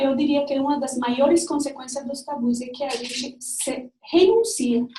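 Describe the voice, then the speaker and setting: A Colombian woman speaking Portuguese with a Spanish accent, giving a reflective, personal talk with frequent pauses and restarts. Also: high voice, dark tone. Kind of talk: lecture-style talk. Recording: distant mic in an echoey room.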